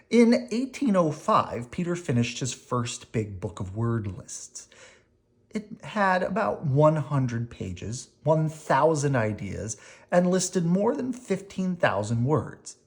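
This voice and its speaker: A person reading a children's picture book aloud, expressively and deliberately, with dramatic emphasis and short explanations for young listeners. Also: medium pitch, a little mellow. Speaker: storybook reader explaining words to children.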